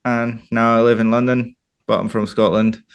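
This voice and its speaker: A man's voice: medium pitch, flat delivery, normal speed, clean tone. A young man from Switzerland speaking casually as a band member in a group interview. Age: young adult.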